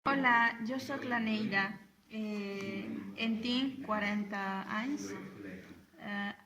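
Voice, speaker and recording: A woman from Bolivia, introducing herself haltingly as a language student. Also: medium pitch. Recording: clear chatter of people behind.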